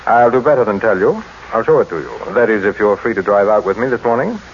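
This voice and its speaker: A man's voice: fairly low pitch, lively intonation, fast. An actor playing a cold, curt, scheming businessman husband in an old-time radio drama. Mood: brisk, businesslike. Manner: curt, commanding. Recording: muffled, noisy old radio recording.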